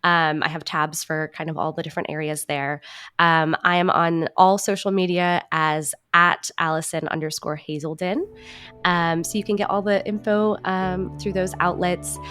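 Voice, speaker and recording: A woman's voice: fairly low pitch, fairly even intonation, moderate pace, a bit bright, clear, resonant tone, soft background music. A woman speaking casually as a guest in an interview, talking about her creative work. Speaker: podcast guest, actor and writer.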